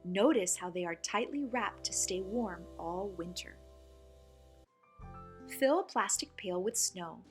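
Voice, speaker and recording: A woman reading a picture book aloud for young children, with expressive, clearly phrased delivery as the host. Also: medium pitch, measured pace, long pauses, faint music behind. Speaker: children's story reader and host.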